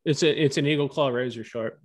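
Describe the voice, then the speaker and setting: A man's voice: mid-range voice, a little fast. An American man co-hosting a podcast in casual, conversational talk. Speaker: fishing podcast co-host. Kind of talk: casual chat. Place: indoor room, on a video call.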